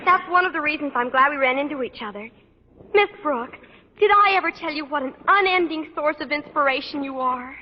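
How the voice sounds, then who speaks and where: A woman's voice: sits high, a little fast, background noise, close to an everyday voice. A woman playing a sweet, polite teenage schoolgirl with a gushing manner in an old radio sitcom. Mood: flattering. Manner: polite, bright-eyed, gushing. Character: sweet, flattering teenage schoolgirl, principal's daughter. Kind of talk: 1940s-50s radio sitcom acting. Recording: muffled reverberant old radio, studio audience.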